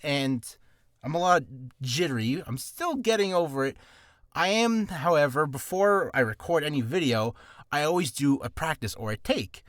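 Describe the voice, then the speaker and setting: A man talks through beginner voice-acting tips in a loose, rambling way, nervous and quick to poke fun at himself. His pitch sits a bit high and swings widely as he talks. The sound is close and dry, recorded in a small, cramped room. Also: animated, fairly bright tone, dry recording. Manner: nervous, rambling, self-deprecating. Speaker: voice actor giving beginner advice. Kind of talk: casual rambling advice vlog. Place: small hot confined room.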